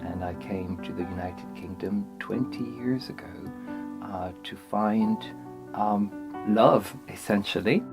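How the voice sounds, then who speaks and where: Man, slow and hesitant, reflective; faint music bed. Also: lowish voice. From France. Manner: hesitant, thoughtful, with many pauses. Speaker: performance artist. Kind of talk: personal recollection.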